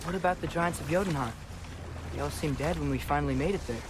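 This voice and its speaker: A man's voice: fairly high pitch, fast talker, background noise, natural voice. A young man playing a curious, persistent teenage boy in a video game. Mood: curious, earnest. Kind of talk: video game dramatic acting.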